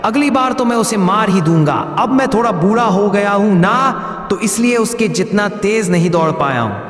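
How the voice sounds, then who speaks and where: A man's voice: sits high, fairly bright tone. Man acting a proud aging lion king, echoing reverb. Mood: frustrated, vowing. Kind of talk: animated moral story dialogue. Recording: echoey, long reverb.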